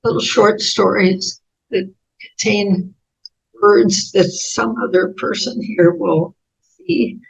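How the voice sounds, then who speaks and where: An 88-year-old American woman with a raspy voice, telling reflective personal stories as an interview guest in halting, thoughtful phrases. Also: medium pitch, fairly even intonation, muffled recording. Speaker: interview guest, author and speaker.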